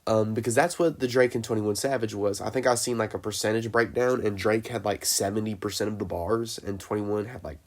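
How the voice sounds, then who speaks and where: A young man with a cold, sounding congested and deeper than usual, casually reviewing an album, rambling with many fillers and self-corrections. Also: steady tone, brisk, hardly any pauses. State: sick and congested. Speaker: music reviewer.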